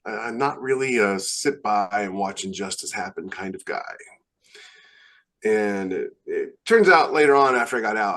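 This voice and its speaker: A man's voice: deep voice, a bit bright. A man who is a podcast host and military veteran, talking casually in a rambling way and often restarting his phrases. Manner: rambling, repeats phrase starts. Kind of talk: casual podcast conversation with anecdotes.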